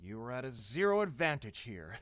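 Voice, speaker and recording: A man's voice: mid-range voice, big pitch swings, fairly quick, a bit bright, dry recording. An actor playing a blunt, sarcastic, defensive man in an audio drama. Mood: indignant. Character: blunt, exasperated grown man, defensive young traveler.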